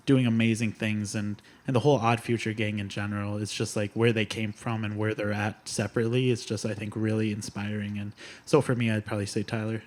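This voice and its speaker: A young man, a musician guest on a podcast, talking enthusiastically and leaning heavily on "like" as filler. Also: lowish voice. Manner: enthusiastic, filler-heavy, says "like" constantly. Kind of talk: casual interview talk.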